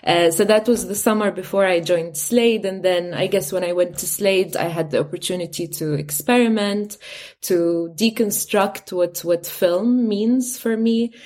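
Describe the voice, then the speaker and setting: A young adult woman, an artist working in film, answering a panel interview in a measured way, with uhs and "you know". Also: mid-range voice, a little mellow. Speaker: artist and filmmaker.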